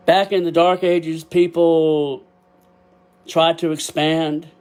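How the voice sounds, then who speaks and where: An older man with a Texas drawl talks off the cuff into a cheap webcam microphone, rambling and emotional with frequent laughs. He sometimes gets loud without noticing. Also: on the high side, level tone, slow pace, smooth. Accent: Texan. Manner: loud at times, deaf and can't hear himself. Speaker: podcast host. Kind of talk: casual solo podcast talk. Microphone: built-in webcam microphone.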